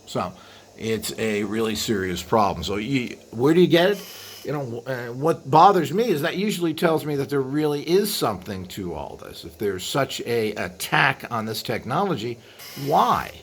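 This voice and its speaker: A man hosting a video with an unscripted explanatory monologue, rambling and halting as he trails into asides. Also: mid-range voice, animated. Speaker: video host. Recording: clearly audible background noise.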